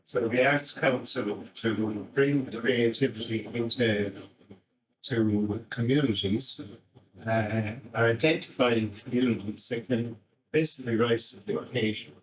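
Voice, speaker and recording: A man's voice: medium pitch, a little slow. An Irish man making an informal, rambling contribution at a community talk. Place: indoor event. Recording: echoey room, distant microphone.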